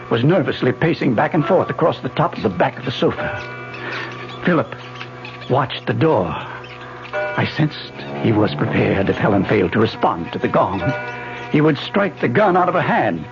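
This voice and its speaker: An obsessive professor in an old radio drama, telling his grim story with gravelly, swooping delivery. He is measured and dramatic, turning commanding. The recording is dull and lo-fi, with loud music behind. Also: mid-range voice, very animated. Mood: grave, suspenseful. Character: scientist professor narrating his obsessive mind-control experiment, wounded. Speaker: narrator and lead character. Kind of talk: 1970s radio drama narration.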